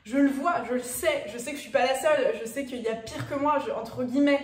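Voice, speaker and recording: A woman's voice: sits high, quick pace, reverberant, far from the mic, crowd noise. A woman in her twenties with a Parisian French accent, talking to viewers in a chatty personal vlog. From France. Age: 20s. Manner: chatty, direct address to viewers, frequent fillers. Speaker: YouTuber. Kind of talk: casual personal vlog talk.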